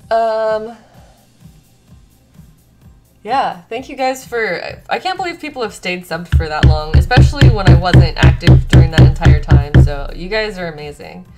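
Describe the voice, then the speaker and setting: A young woman chatting casually to viewers as a livestream host, playful and self-deprecating, with game music playing behind her. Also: medium pitch. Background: video game remix music.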